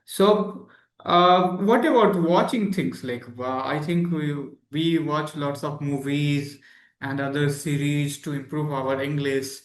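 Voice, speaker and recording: A man's voice: on the high side, slow pace, reverberant. A man hosting a casual interview, asking questions hesitantly with many fillers. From India. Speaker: channel host.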